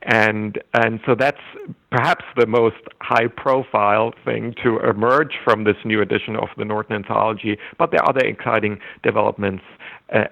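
Halting low-voiced man with gravelly tone. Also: a bit bright, lo-fi sound. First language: German. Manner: thoughtful, halting, with frequent restarts. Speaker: literature scholar and anthology editor. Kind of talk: interview answers.

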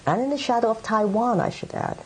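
A woman's voice: on the high side, expressive, brisk, a little hiss or hum, rough recording. A middle-aged woman writer from Hong Kong, answering interview questions in a thoughtful, meandering way with many fillers. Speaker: writer and editor.

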